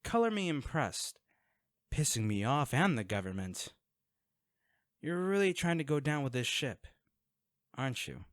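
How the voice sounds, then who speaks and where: A man's voice: mid-range voice, very animated, unhurried, long pauses, no room sound, clean studio sound. A man playing a guarded, wealthy vigilante in an audio drama, speaking in a curt, sarcastic and threatening way to the listener.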